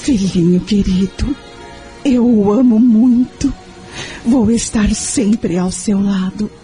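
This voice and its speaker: Woman acting young devoted mom in an audio drama, mellow voice, tender n earnest, bit of music behind. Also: mid-range voice, takes it slowly, natural voice. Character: devoted, grateful young mother, searching for faith.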